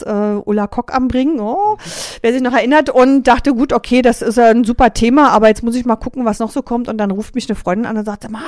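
Middle-aged East Berlin woman, radio guest, lively storyteller, dry booth sound. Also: fairly high pitch, dry recording. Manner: lively storytelling with many fillers and restarts. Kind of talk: casual radio interview.

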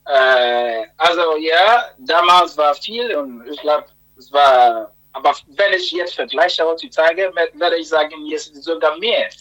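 A man in his thirties from Ghana, a musician talking as a radio interview guest, relaxed and repeating words as he speaks German with slips. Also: a little high. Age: 30s. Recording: dull lo-fi sound.

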